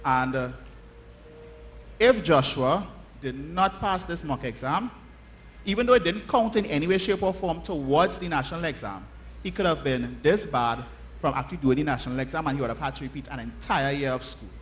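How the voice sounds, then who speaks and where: A man's voice: a little high, normal speed. A Trinidadian man telling a story informally to a church congregation, conversational and checking in with his listeners using short tag questions. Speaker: church children's story teller. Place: church, speaking to children and congregation. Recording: noisy live church recording, often distant.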